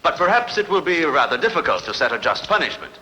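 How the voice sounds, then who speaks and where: A man's voice: on the high side, very animated, fast talker, bright, forceful tone, a little hiss or hum, exaggerated voice. A man dubbing an aggrieved, jealous ancient nobleman, speaking in a formal and pointed courtly manner. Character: aggrieved, jealous ancient nobleman accusing before his king. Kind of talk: dramatic film dubbing. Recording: long hall reverb.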